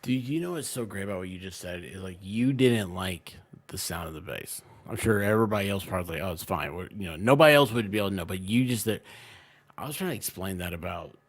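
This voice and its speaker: A man's voice: low-pitched, fast. A man chatting casually on a livestream, rambling along and often trailing off mid-sentence. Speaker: online content creator and streamer.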